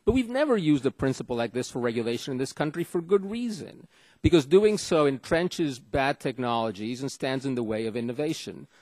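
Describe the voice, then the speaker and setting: A law professor from Argentina at the witness table, a man carefully and haltingly building his case, his voice close and dry. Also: medium pitch, expressive, darkish tone, no echo. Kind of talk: formal hearing testimony. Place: legislative hearing room.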